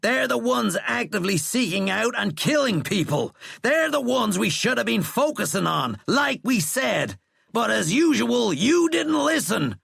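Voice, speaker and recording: A man voicing an angry, outspoken army general in an audiobook, loud, forceful and scornful. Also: high voice, sing-song, unhurried, creaky, slightly rough, dry recording, studio quality, pitched above the performer's own voice, heavily acted. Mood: accusing. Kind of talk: audiobook character acting.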